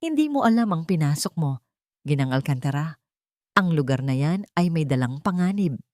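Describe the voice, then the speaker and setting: A woman with a Filipino accent voicing a cold, powerful, threatening rich man in a narrated story, speaking deliberately and clearly. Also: low-pitched, sing-song, darkish tone, dry recording, clean studio sound. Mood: cold warning.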